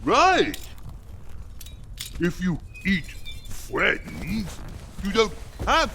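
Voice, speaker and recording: A gleeful monster king from a fantasy game, speaking very slowly in simple broken phrases. The voice is gravelly and swoops wildly, gallant and dim, with faint noise behind it. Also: high-pitched, huge pitch swings, sharp tone, exaggerated voice. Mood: jolly, boastful. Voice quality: gravelly, creaky. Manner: broken simple grammar, refers to himself by name, chuckles often. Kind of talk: video game character acting.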